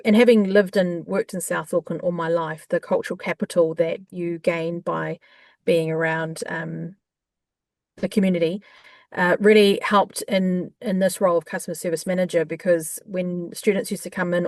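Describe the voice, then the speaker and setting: A woman with a New Zealand accent, a guest in an interview, talking in a warm, chatty way with frequent fillers. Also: on the low side, steady tone, normal speed, dry recording. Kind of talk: conversational interview.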